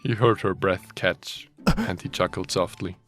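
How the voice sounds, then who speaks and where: A man's voice: low voice, measured pace, fairly bright tone, soft background music. A man reading a fanfiction story aloud as a dramatic narrator, mock-serious and often amused, with joking asides. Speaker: story reader.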